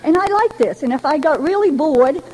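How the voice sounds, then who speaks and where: An older woman from Rhode Island tells her personal recovery story to a meeting in a chatty, folksy way with gentle humor. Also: high voice, animated, moderate pace, a little hiss or hum, poor audio. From Rhode Island, New England. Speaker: Al-Anon member giving a talk. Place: speaking to a gathering.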